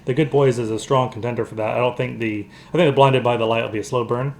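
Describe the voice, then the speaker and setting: A man with a creaky, mid-pitched voice talks as co-host of a casual movie discussion. He feels his way through explanations with halting phrasing. A faint noise sits behind his voice. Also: steady tone, a little mellow. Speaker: podcast co-host.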